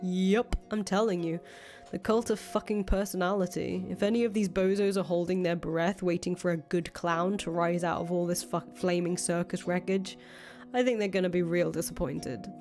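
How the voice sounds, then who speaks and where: A woman voicing a jaded, blunt young fugitive in a visual novel, sardonic and quick to swear. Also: on the low side, fairly even intonation, a little mellow, lightly acted. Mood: weary, bitter. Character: jaded, foul-mouthed young woman, fugitive ex-cult leader. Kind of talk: voiced reading of visual novel dialogue. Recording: music playing under the voice.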